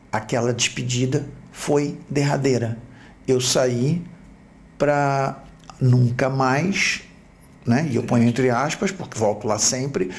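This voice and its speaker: Gravelly Brazilian man telling his story. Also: a little high. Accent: Brazilian Portuguese, from Rio. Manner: reflective, halting with repeated restarts. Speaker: podcast host. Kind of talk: personal storytelling.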